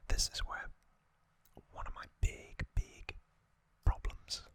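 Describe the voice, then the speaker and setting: An ASMR host whispering an unhurried, unscripted-sounding explainer in a breathy, unvoiced whisper, pausing often as they go. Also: a bit bright.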